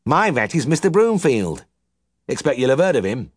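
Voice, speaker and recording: A man's voice: mid-range voice, very animated, normal speed, no echo, studio quality, voice pushed up. A man voicing a smug little old male farmer with a nasal West Riding Yorkshire twang, forever praising another vet. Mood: needling boastful.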